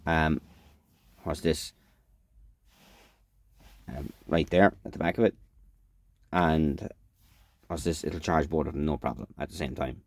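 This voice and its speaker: A man giving a casual, unscripted product demonstration as a reviewer, in a halting, matter-of-fact way. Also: a bass voice, creaky.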